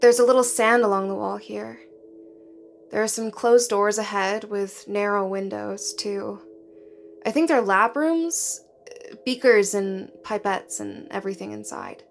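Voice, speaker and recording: A woman's voice: medium pitch, steady tone, measured pace, creaky, slight background noise. An actress playing a curious, hesitant woman explorer in an audio drama, talking in a tentative, naturalistic way. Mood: wondering, uneasy.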